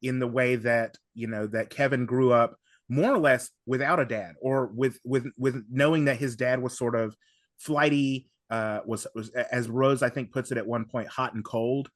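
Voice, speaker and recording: A man guesting on a podcast, discussing things analytically in a wordy, halting way, often circling back to rephrase. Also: medium pitch, steady tone, no echo, clean studio sound.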